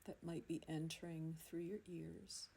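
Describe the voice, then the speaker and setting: Woman doing yoga nidra, really slow and soothing, lowish voice, homemade recording. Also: flat delivery, creaky, warm tone. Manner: soothing, unhurried, with long pauses. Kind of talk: guided relaxation meditation. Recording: amateur recording with faint background noise.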